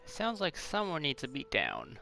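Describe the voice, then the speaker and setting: A man's voice: medium pitch, wildly swooping pitch, normal speed, vocal fry, sharp tone. A man who streams games, giving casual reactions to a game's story as he plays. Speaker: game streamer. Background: game music.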